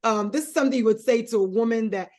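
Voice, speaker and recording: A woman's voice: on the high side, fast talker, a little mellow. A woman hosting an interview show, coaxing her guest through a guessing game with patient hints. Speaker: show host.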